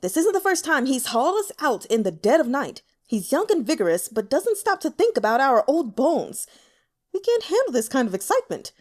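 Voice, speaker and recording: A woman's voice: high voice, very animated, brisk, darkish tone, voice pushed up. A woman voicing a lecherous, blustery elderly nobleman who grumbles, in an audiobook. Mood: grumbling. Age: older. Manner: blustery, complaining.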